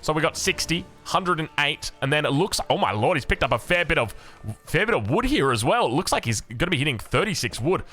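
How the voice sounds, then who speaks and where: Australian man, young adult, game commentator, slightly hoarse, excitable, lively pitch. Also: brisk, soft background music. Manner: excitable, energetic, big pitch swings. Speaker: game caster.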